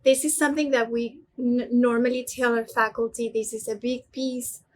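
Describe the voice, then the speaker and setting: A woman's voice: a little high, little pitch movement, moderate pace. A woman from Venezuela hosting a webinar discussion over a video call and asking the guests questions. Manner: friendly moderator, lots of affirming mm-hmms. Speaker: webinar host.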